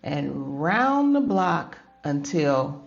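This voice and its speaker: A woman's voice: sits low, sing-song, very slow, creaky, muffled recording, background noise. An older woman reading a picture book aloud expressively, in a grandmotherly manner, addressing young children and asking them questions.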